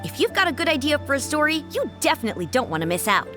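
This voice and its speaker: A woman's voice: high-pitched, very animated, very fast, over music. An actress voicing a young woman in a game who upbeatly promotes a writing contest. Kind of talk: game dialogue voice acting.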